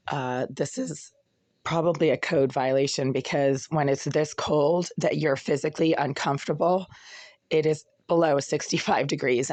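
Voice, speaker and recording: Hoarse, raspy voice with a cracking break. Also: medium pitch, a little mellow, dry recording. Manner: aggrieved, ranting, insults critics. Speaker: vlogger. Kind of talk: casual complaint vlog. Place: cold apartment indoors.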